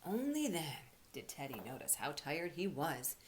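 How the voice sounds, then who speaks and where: A woman giving an expressive read-aloud for children as a storyteller and teacher. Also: low voice, a little slow, slight background noise.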